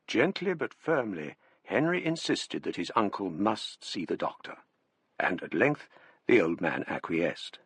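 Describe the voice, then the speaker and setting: An actor with an English accent playing a polite, bookish Cambridge man, speaking in a measured, literary way in a radio drama. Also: deep voice, big pitch swings, fairly bright tone, husky, full character voice. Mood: grave narration. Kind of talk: radio drama narration and dialogue.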